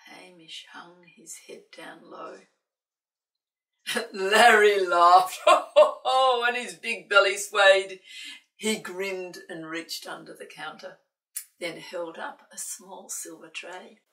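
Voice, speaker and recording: A woman reading a children's picture book aloud in an expressive way, with playful, dramatic asides to young listeners. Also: medium pitch, slow pace. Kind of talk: expressive children's storybook narration. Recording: roomy, slightly distant microphone.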